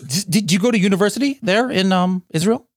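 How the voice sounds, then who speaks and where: A man's voice: sits high, normal speed, no room sound, studio quality. A man hosting a podcast, chatting casually and joking with guests, with lots of fillers and restarts. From United States. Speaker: podcast host. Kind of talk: casual interview chat.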